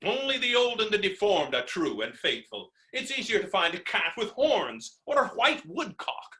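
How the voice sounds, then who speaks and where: A man's voice: high-pitched, big pitch swings, moderate pace, sharp tone, full character voice. A man playing a blustering, boorish, melodramatic fellow who rails against women in a theatrical, mocking way, in a stage comedy reading. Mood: bitter contemptuous.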